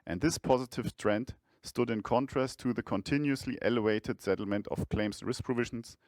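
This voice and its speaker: Male CFO, mid-range voice, formal measured scripted delivery for an earnings call, dry recording. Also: medium pitch, a little mellow, no room sound. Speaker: chief financial officer presenting results.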